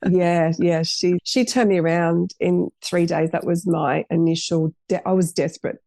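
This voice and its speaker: A middle-aged woman with a dark, gravelly voice speaks on a podcast as a guest. She tells her story earnestly and wanders, breaking off and restarting sentences. The sound is dry, with no room echo. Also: fairly low pitch, steady tone, moderate pace. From Australia. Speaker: guest, cafe owner. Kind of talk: casual interview storytelling.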